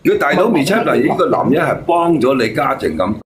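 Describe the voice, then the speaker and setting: A man's voice: medium pitch, sing-song, fast talker, over a noisy crowd. A man, argumentative and scolding, giving his opinions in a phone-in debate. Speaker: caller giving commentary. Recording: faint noise and ambience behind.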